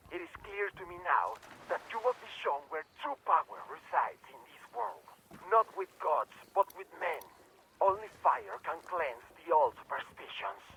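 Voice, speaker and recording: An actor playing a cruel, grandiose mercenary warlord giving pompous, threatening monologues in a video game. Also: male voice, a little high, sharp tone, slight background noise, full character voice, low-quality recording.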